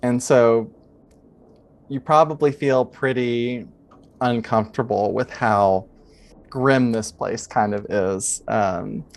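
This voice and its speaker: A man working as game master, narrating a tabletop adventure in a measured, descriptive, improvised way. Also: medium pitch, creaky.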